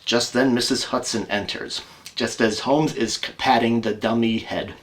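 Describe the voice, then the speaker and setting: A man's voice: medium pitch, steady tone, normal speed. A man narrating an amateur audio drama, reading stage directions as a storyteller. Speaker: narrator and solo performer.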